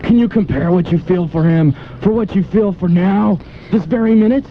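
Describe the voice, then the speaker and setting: A man's voice: sits high, moderate pace. An actor playing an obsessive, impassioned male pianist in old-time radio style, with a melodramatic, insistent delivery. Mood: impassioned, persuasive. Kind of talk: old-time radio drama acting with first-person narration. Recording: dull 1990s AM radio aircheck with music.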